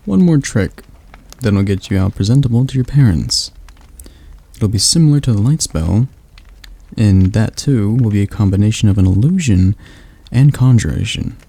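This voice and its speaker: A man playing a gravelly, soft-spoken demon tutor. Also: lowish voice, animated. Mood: patient, coaxing. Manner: slow, soft, coaxing instruction. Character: patient demon magic tutor, male, calm and reassuring. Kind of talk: ASMR roleplay.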